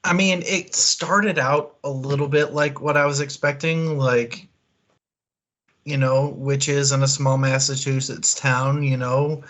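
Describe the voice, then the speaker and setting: Casual man with a mid-pitched voice. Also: fairly even intonation, slow, a bit bright. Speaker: co-host of a book club livestream. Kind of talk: casual chat, book discussion.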